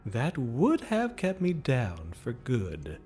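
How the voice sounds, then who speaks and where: Casting: a teasing demon villain, slow and theatrical, swooping between silky flirtation and menace, over music. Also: male voice, mid-range voice, wildly swooping pitch, darkish tone. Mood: mocking amusement. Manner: languid, teasing, drawn-out phrasing with pet names. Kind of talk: audio drama acting.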